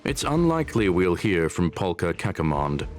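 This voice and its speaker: A man's voice: low-pitched, very animated, moderate pace, soft background music. A man voicing a logical, precise robotic genius in a video game, formal and clipped. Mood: logical, matter-of-fact.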